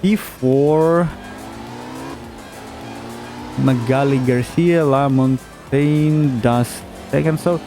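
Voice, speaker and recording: A man's voice: mid-range voice, fairly even intonation, slow pace, dark tone. A man giving casual, hesitant commentary as he plays a racing game, with many fillers and restarts. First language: not German. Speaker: gaming YouTuber. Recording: game music playing underneath.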